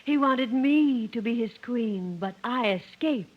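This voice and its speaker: A high-pitched damsel in distress, earnest and melodramatic as she pleads her case. She sounds like a jungle-adventure heroine in a 1950s radio comedy, on a dull old recording. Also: expressive, slow.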